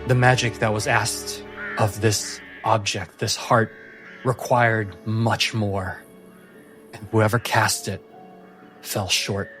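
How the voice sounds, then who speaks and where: A man narrating a tabletop fantasy game as game master, with a measured, deliberate storytelling delivery. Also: fairly low pitch, flat delivery, fairly bright tone. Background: music.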